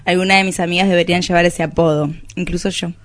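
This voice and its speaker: A woman's voice: on the low side, steady tone, quick pace, a little hiss or hum, close to an everyday voice. Woman acting a fussy, snobbish Argentine mother. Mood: curious, snobbish. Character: snobbish, meddling middle-class mother of grown daughter. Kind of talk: radio play acting.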